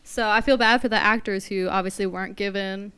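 Smooth-voiced, giggly woman podcaster. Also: medium pitch, steady tone, fairly quick, a bit bright. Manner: giggly, chatty, teasing. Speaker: podcast co-host. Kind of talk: casual movie-review chat.

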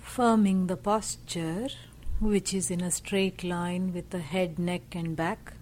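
Very slow-speaking woman guiding meditation. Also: lowish voice, steady tone, slight background noise. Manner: gentle, measured, pausing between short phrases. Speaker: meditation teacher. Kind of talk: slow guided meditation narration.

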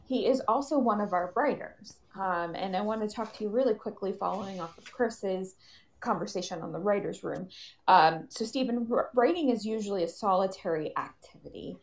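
A woman moderates a live panel in a voice with noticeable creak. She is measured as she introduces guests and frames questions, pausing along the way. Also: medium pitch, poor audio. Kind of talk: hosting a live panel discussion.

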